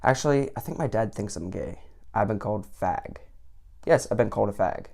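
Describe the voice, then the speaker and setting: A man's voice: lowish voice, expressive, fairly quick, gravelly, a little mellow. A teenage boy giving sarcastic commentary on an online quiz, with mock-indignant outbursts. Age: late teens. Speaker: vlogger.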